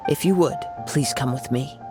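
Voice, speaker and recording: An actor playing a composed, poetic young swordsman in a video game, speaking in a soft, measured, formal way. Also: mid-range voice, music in the background, natural voice. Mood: calm, resolute.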